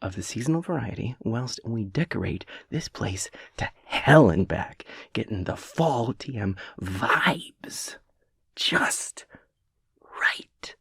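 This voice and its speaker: Casting: chaotic gremlin boyfriend for comedic audio roleplay male actor. Theatrical, rambling, wildly swooping delivery, gravelly fry. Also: on the low side, no echo, studio quality, full character voice. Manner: theatrical, rambling, mock-grandiose wordplay. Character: chaotic gremlin boyfriend, manic, theatrical, playful Halloween enthusiast.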